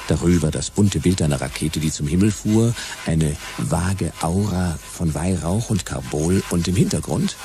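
A hard-boiled male detective narrates in a very deep voice, dry and sardonic. Music plays clearly behind the scripted radio narration. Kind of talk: scripted first-person radio drama narration.

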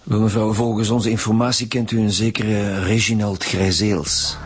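An actor playing a dry, businesslike homicide chief inspector in a radio drama, questioning formally with a Flemish accent. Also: male voice, on the low side, little pitch movement, normal speed, a little hiss or hum, natural voice. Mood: formal, probing.

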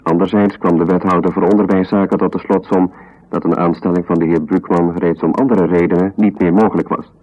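An actor playing an impersonal bureaucrat in a radio drama, reading out an official ruling in a formal, measured, detached way. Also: male voice, sits low, fairly even intonation. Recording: dull 1970s radio recording.

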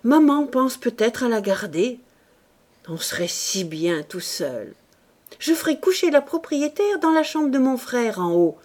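Woman reader voicing a shrill, loud, greedy woman; high and swooping. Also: big pitch swings, measured pace. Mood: gloating. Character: brash, greedy woman, tipsy and gloating.

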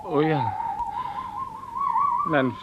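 High, theatrical, halting man. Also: heavy background noise. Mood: shaken, hesitant. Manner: theatrical, halting, repeating himself. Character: nervous, hesitant man who turns haughtily commanding in dreams. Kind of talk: 1950s German radio play acting. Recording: dull 1950s radio recording, background sound.

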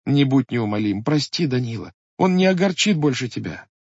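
A man reading a storybook voices a young Cossack wife who pleads in a high, impassioned, tearful voice. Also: big pitch swings, moderate pace, darkish tone, breathy edge, no room sound. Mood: pleading. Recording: muffled lo-fi recording.

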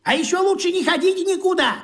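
An actor playing a grumbling, self-important pot-bellied man in a radio play, with a husky voice. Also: extremely high pitch, fast. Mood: pompous. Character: grumpy pot-bellied man in pajamas, proud veteran.

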